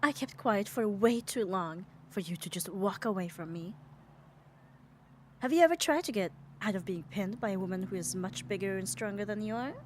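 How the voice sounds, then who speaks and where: A woman's voice: mid-range voice, normal speed, a little hiss or hum, close to an everyday voice. A woman playing a muscular, possessive yet caring yandere in an ASMR roleplay, speaking with coaxing, tender firmness. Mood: firm, teasing. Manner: coaxing, firm, tender reassurance. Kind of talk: ASMR roleplay audio drama.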